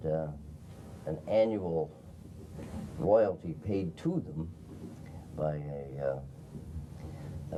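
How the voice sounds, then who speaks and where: An older man from Wisconsin speaking as a panelist in a halting, deliberate way. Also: low-pitched. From Wisconsin, USA. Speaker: panelist, former society president. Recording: noisy 1990s video recording.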